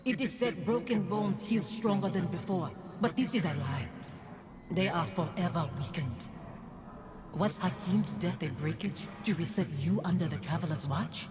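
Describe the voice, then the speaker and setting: An actress playing a dead wife's bitter ghost in a video game, speaking in a solemn, measured, accusing way. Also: female voice, lowish voice, animated, noisy background, close to an everyday voice. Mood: mournful, accusing. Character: bitter, haunting ghost of a dead wife and mother. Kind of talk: scripted video game drama.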